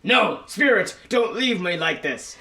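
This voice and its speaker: A man's voice: high-pitched, expressive, unhurried, pushed and bright, reverberant, heavily acted. A man playing an anxious, put-upon miser in a Christmas radio play, with an uneasy, questioning manner. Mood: uneasy, pleading.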